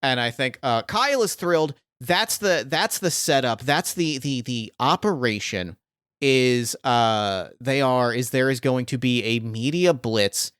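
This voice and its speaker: Clear-voiced man running a tabletop game. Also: medium pitch, animated, a bit bright, no echo, clean studio sound. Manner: halting, thinking aloud. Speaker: game master. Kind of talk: improvised tabletop game narration.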